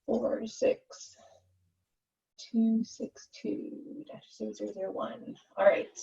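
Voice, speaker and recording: A woman's voice: fairly high pitch, little pitch movement, slow, gravelly, warm tone. A woman chairing a committee conference call, running procedural discussion and votes. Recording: muffled, distant conference call audio.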